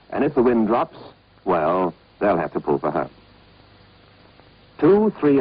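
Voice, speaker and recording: British man, lively narration, dull old film soundtrack. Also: on the low side, slightly rough. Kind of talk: scripted instructional film narration.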